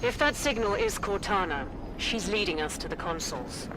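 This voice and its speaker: A woman's voice: mid-range voice, expressive, normal speed, noisy background, natural voice. A woman playing an alert, level-headed soldier in a video game, clipped and matter-of-fact. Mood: alert, measured. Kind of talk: video game cutscene acting. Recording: loud music behind. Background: loud game music.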